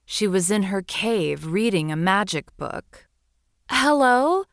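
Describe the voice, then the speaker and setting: A woman narrates a children's bedtime story at a very slow, deliberate pace. Her slightly low voice rises and falls expressively, with a soothing storyteller's delivery in a dry, close recording. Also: vocal fry, no room sound.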